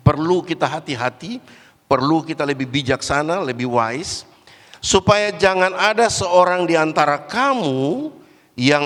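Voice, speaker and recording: A man with a cold and a cough preaches a church sermon in a fairly high voice. He rises into loud, lifted exhortation, then drops to low, hushed asides. The long reverb of a big church hall trails after his words. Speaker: guest preacher. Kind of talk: Pentecostal sermon.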